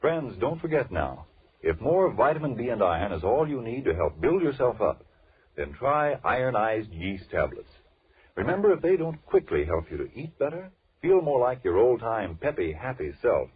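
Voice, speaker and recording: A man working as a 1940s radio announcer, delivering a sponsor pitch in an emphatic, salesman-like way. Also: big pitch swings, normal speed. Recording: tinny, rough 1940s radio recording.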